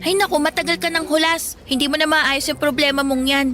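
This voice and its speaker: A woman playing a brash, teasing high school girl, a pushy best friend, in Filipino radio drama style with a Filipino accent. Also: steady tone, brisk, pushed and bright. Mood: impatient. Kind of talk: Tagalog radio drama acting. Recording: faint music bed.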